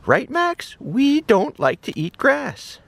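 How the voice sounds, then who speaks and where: A man voicing an eager, playful little dog in a singsong, excitable way for a children's story. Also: very high-pitched, slow pace, gravelly, no echo, exaggerated voice. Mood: excited. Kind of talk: children's animal story role-play.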